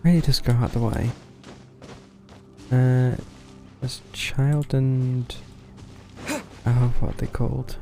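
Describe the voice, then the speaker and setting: A man's voice: medium pitch, steady tone, slow, long pauses, mellow. A man giving casual, wry live commentary as he streams a game, with game music behind him.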